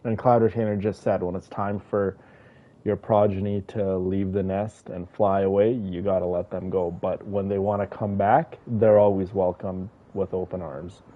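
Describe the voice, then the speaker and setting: A man's voice: low-pitched, little pitch movement, normal speed, narrow, tinny audio. A man giving casual, rambling, sentimental commentary as a game streamer.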